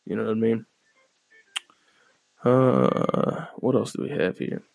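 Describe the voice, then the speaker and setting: Monotone, gravelly male podcast host. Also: fairly low pitch, slow pace, no echo. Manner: laid-back, profane, rambling with many fillers. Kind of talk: casual solo podcast commentary. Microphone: close podcast microphone.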